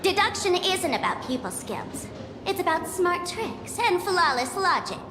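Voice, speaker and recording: A woman's voice: very high voice, very animated, a little slow, noisy background, exaggerated voice. A woman voicing a playful, mischievous young trickster who talks theatrically and teases the others, in a game scene. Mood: teasing. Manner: teasing, theatrical, mocking. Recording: game audio with loud music, echoey.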